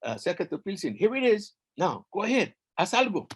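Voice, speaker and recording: An eager, brotherly little ant from a folktale, acted out by a male storyteller. The voice jumps high and swings up and down in a lively way, chatty and helpful, slipping between English and Spanish phrases. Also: brisk, slightly rough, full character voice. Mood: eager, encouraging. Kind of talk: animated oral folktale storytelling.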